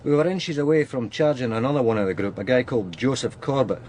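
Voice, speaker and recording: A man's voice: medium pitch, lively intonation, quick pace, a little mellow, slight background noise, natural voice. A seasoned Scottish police sergeant played by a man in a radio crime drama. His mid-range voice is reserved and matter-of-fact, with a faint ambience behind it. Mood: grim, matter-of-fact. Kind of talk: naturalistic radio drama acting.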